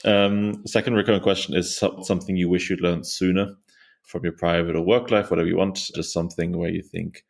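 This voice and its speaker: A man's voice: low voice, level tone, a little fast, vocal fry. A young man hosting a podcast, asking questions in a loose, casual way with many fillers. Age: young adult. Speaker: podcast host. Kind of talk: casual interview.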